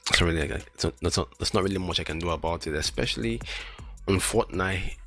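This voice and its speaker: A man's voice: sits low, quick pace, a bit bright, soft background music. A man with a lisp who stutters and restarts words, rambling casually and self-deprecatingly as a streamer. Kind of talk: casual solo chat while testing mic settings.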